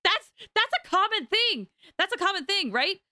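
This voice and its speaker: A woman's voice: very high-pitched, sing-song, moderate pace, sharp tone, dry recording, studio quality. A woman chatting casually and animatedly on a game livestream, rambling as she talks to viewers.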